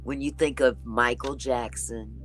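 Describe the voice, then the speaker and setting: A woman with a deep, raspy voice talking in a relaxed, chatty way as a guest in a casual conversation. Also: unhurried, faint music behind. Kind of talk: casual chat.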